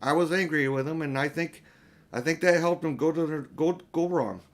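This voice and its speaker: A man's voice: fairly high pitch, moderate pace, pitched above the performer's own voice, close to an everyday voice. A young storybook boy, acted out by a male reader. He is earnest and awkward, the eldest brother, speaking up in a lifted, hesitant voice. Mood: contrite.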